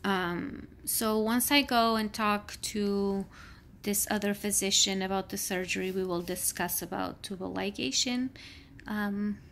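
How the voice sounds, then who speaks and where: A woman's voice: medium pitch, fairly even intonation, measured pace, gravelly, a bit bright, slight background noise. A woman in her thirties talking candidly in a personal vlog, rambling with frequent fillers; she works in healthcare. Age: 30s. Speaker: vlogger; healthcare worker.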